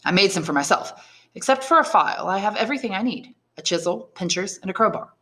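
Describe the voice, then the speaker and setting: A woman's voice: medium pitch, expressive, fast, sharp tone. A woman voicing an elderly, learned imprisoned priest in an audiobook, speaking emphatically and articulately with bitterness and resignation. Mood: matter-of-fact. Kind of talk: dramatised audiobook reading of a classic novel.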